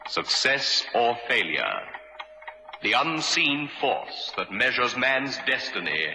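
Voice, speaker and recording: An actor playing a grave, ominous radio drama narrator, a man with a portentous, deliberate delivery. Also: mid-range voice, sing-song, bright, forceful tone, slight background noise, heavily acted. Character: grave, ominous male narrator personifying fateful time. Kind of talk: dramatic radio series announcement. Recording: cavernous long reverb, vintage radio. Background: music and clock ticking.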